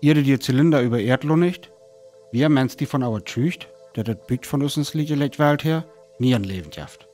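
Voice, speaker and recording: A man's voice: mid-range voice, normal speed, lightly acted. An actor with a North Frisian accent playing a reflective male survivor narrating his account of a Martian war in a solemn radio play style. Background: soft music.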